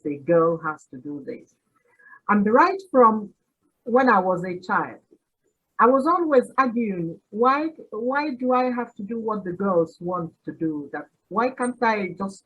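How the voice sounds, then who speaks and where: A Nigerian woman in her sixties, an Igbo speaker, telling her story as a podcast guest with frequent pauses and laughter. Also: medium pitch, slightly rough, dull audio. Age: 60s. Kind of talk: reflective interview answer.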